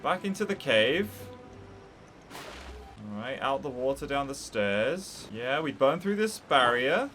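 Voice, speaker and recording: A man's voice: medium pitch, sing-song, slow, a bit bright, faint music behind. A man hosting a casual game playthrough, chatty and enthusiastic as he reacts aloud. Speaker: gaming video host.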